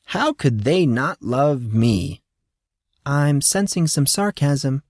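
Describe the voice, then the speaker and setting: A man's voice: fairly high pitch, expressive, slow, darkish tone, no room sound, clean studio sound, voice pushed up. A man voicing a confident, teasing teenage girl with a light, playful manner in an audio story.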